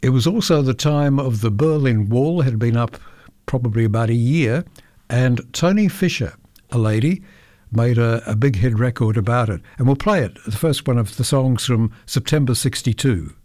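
Australian male radio host, warm mellow tone, dry booth sound, easygoing storyteller between records. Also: mid-range voice, normal speed, no room sound. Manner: chatty, anecdotal, occasional self-corrections. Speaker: radio presenter. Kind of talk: relaxed radio chat between songs. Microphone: close studio microphone.

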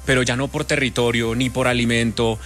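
A man's voice: medium pitch, flat delivery, brisk, a bit bright, soft background music. A man with a Colombian accent, speaking conversationally as the host of an interview show.

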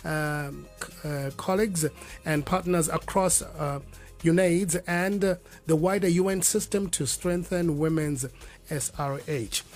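A man's voice: fairly high pitch, unhurried, faint music behind. A man hosting a radio talk show, upbeat, with some fillers and restarts. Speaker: radio host.

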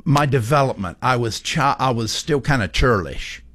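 A man's voice: mid-range voice, lively intonation, normal speed, fairly bright tone. A man in his sixties co-hosting a radio show, telling stories about himself in a folksy, self-deprecating way. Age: 60s. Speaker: radio co-host. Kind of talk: casual studio banter. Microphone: studio mic.

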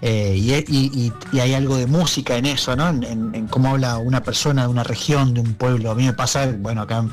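A man with an Argentine accent speaking as a musician guest in an interview, reflective and halting, often checking for agreement. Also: mid-range voice, fairly even intonation, soft background music. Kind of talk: conversational interview.

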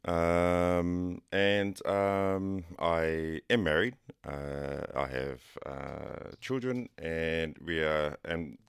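A man, raised there after a Cook Islands birth, answering a radio interview as a police officer in a halting, soft-spoken way with many pauses. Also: deep voice, clear, resonant tone, no echo, studio quality. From Cook Islands, raised in New Zealand. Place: radio studio.

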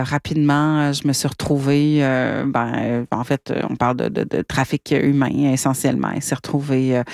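A woman hosting live radio in a conversational, halting way, often rephrasing as she goes. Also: low-pitched, no room sound, studio quality. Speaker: radio host. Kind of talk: live radio presenting and interviewing.

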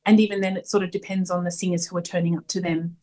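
A woman folk singer answering interview questions thoughtfully, pausing often with fillers. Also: lowish voice, flat delivery, warm tone. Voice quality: strong voice. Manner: thoughtful, hesitant with many ums. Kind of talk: radio interview, casual answers.

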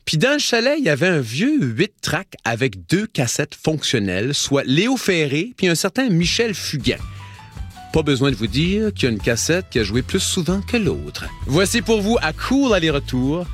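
Lively Acadian radio host telling stories. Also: male voice, fairly high pitch, faint music behind. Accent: Acadian French. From Acadian New Brunswick, Canada. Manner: playful, wry anecdotal delivery with dramatic pauses. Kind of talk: radio storytelling.

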